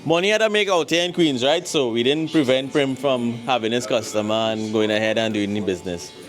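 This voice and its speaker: A man's voice: mid-range voice, fairly quick, faint music behind. A man with a Trinidadian accent hosting a street interview show, upbeat as he introduces guests and asks questions. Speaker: host. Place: city street, then inside a barbershop.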